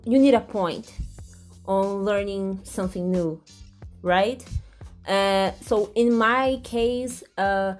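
A woman's voice: medium pitch, darkish tone. A young Brazilian woman with a Brazilian Portuguese accent, a podcast guest speaking English hesitantly and searching for words.

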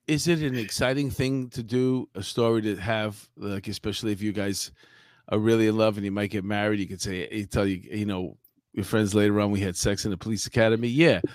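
A man co-hosting a live chat show, bantering and telling stories in colloquial, animated everyday speech. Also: on the low side, fairly quick, no echo, clean studio sound. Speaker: talk show co-host.

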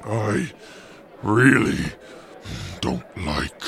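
A gruff, slow-witted male companion for a radio drama. The speech is extremely slow and broken, full of gravel, and lurches widely in pitch. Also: big pitch swings, fairly bright tone, heavily acted. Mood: uneasy, halting.

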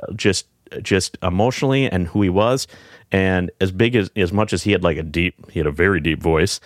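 A middle-aged man with a deep voice, speaking in a reflective, halting way with many fillers and restarts, as a guest in a casual heartfelt conversation. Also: dry recording, clean studio sound. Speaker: podcast guest.

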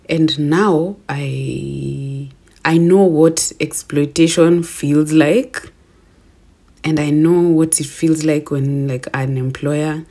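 Deep-voiced Kenyan woman podcaster. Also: dark tone, slightly rough. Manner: chatty and self-affirming, laughs at herself. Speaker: podcast host. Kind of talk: casual solo podcast reflection.

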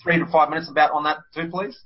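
A man hosting an interview, asking long, halting questions in a casual way. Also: fairly high pitch, fairly even intonation, breathy edge, dull audio. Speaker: podcast host.

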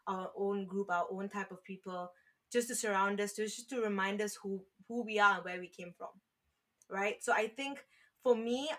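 A woman's voice: medium pitch, a bit bright. A woman from Malaysia, a podcast guest, talking earnestly and rambling with "you know" and restarts. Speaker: podcast guest, founder of an online community page. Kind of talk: casual conversational interview.

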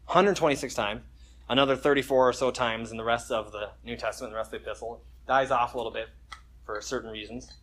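A man's voice: mid-range voice, steady tone, fast, a bit bright. A man preaching a conversational sermon at a church service, joking casually and reading scripture with emphasis. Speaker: pastor.

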